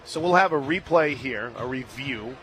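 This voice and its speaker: Bright-voiced radio play-by-play man. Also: mid-range voice, soft background music, low-quality recording. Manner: brisk, surges into excited shouting on big plays. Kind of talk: live sports play-by-play. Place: stadium radio booth.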